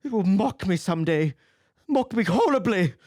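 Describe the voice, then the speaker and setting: A man's voice: sits high, lively intonation, moderate pace, a little mellow, no echo, studio quality, voice pushed up. A man reading an audiobook, voicing a vain, anguished young man who pleads and rises to emotional outbursts.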